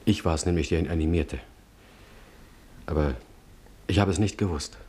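An actor in a radio play as a sombre, remorseful friend, speaking in a subdued, grave way over faint noise. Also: male voice, low voice, normal speed, darkish tone, close to an everyday voice. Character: sombre, remorseful male friend recounting a death.

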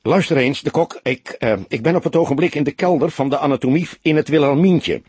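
A man's voice: a little high, brisk, a little mellow, husky, dry recording, pitched above the performer's own voice. A man voicing a young male police detective in an audiobook, hesitant, stammering and apologetic, anxiously pleading with his mentor. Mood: nervous, pleading.

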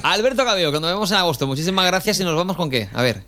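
A man's voice: high-pitched, animated, rapid-fire, bright, forceful tone. A man from Spain with a Spanish accent hosting a radio show, moving between casual chat and narration, sometimes searching for words. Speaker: radio host. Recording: music behind the voice. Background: music bed.

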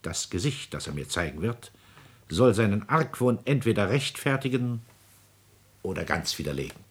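Husky, imperious scheming nobleman. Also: on the low side, sing-song, normal speed, exaggerated voice. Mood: stern, commanding. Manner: imperious, calculating, declamatory. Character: scheming, domineering aristocratic father and court minister. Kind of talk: classical German radio drama acting.

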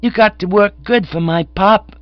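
A man's voice: high-pitched, moderate pace, dry recording, muffled recording, pitched above the performer's own voice, lightly acted. A man voicing a stout, brash young American boy, blunt and bossy, in a storybook reading.

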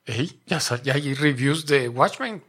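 A podcast co-host in casual chat, often chiming in with quick interjections. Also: mid-range voice, fairly bright tone, slightly rough.

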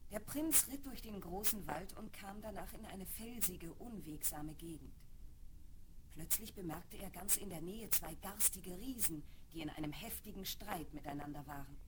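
A woman's voice: fairly low pitch, moderate pace. A woman narrating a scripted fairy tale for children as the storyteller. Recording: noisy old vinyl rip.

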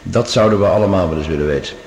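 A man's voice: deep voice, racing, a little mellow. A male actor in a radio play as an affable curator on a Mars base, talking casually with a gossipy, hesitant manner. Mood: gossipy, puzzled. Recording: very echoey cave-like hall, faint noise.

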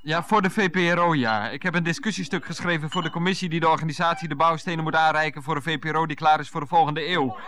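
A man's voice: on the high side, fast, hardly any pauses, close to an everyday voice. An actor playing an evasive, put-upon grown son who stammers and grumbles to his mother on the phone in a 1990s radio comedy. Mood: flustered, placating.

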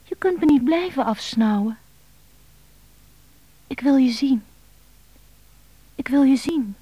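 A woman's voice: sits high, expressive, slow, mellow, no room sound, exaggerated voice. An actress playing a needy, lovelorn woman pleading insistently on the telephone in a radio drama.

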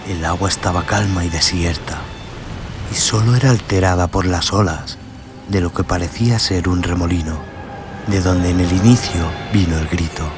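A man narrating a scripted horror tale in a solemn, theatrical way. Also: low voice. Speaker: host and narrator of a horror audio story show. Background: music.

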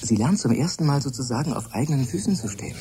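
An actor playing a shy, lovesick young research assistant, earnest and stammering, in a German radio drama. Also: male voice, medium pitch, animated, fast talker, background noise, lightly acted. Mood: awkward. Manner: hesitant, stammering.

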